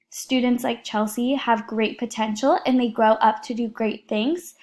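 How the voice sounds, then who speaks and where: Young woman student, slightly high voice, earnest and steady, reading a prepared class report. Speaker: education student presenting a class project.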